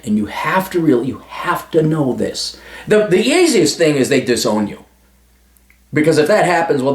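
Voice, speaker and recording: Blunt, profane male advice vlogger; lively delivery, roomy echo. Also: mid-range voice. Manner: crude, emphatic, swears freely. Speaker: advice vlogger answering a listener letter.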